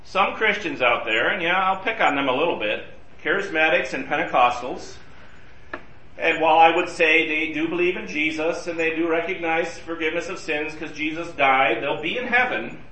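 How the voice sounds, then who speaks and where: A man's voice: fairly high pitch, moderate pace, bright, forceful tone, a little hiss or hum. A man teaching a Bible study class in a conversational way, asking his group questions. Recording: roomy and distant from the mic.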